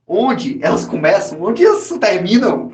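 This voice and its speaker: A man's voice: high voice, sing-song, a little slow, darkish tone. A Brazilian man with a Brazilian Portuguese accent, co-hosting a podcast in casual, slangy chat with many restarts. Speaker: podcast co-host. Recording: echoey room.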